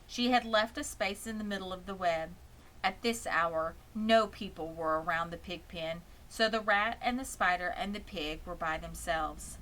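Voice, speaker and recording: A woman's voice: fairly low pitch, normal speed, fairly bright tone, low-quality recording. A woman reading a children's story aloud as scripted narration. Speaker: audiobook reader.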